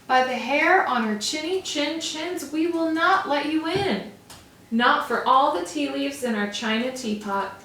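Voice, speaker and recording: A woman voicing a chorus of small frightened wolf cubs in a storybook reading, high and emphatic as they refuse. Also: expressive, measured pace, a bit bright, a little hiss or hum. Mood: defiant. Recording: roomy echo, from far off.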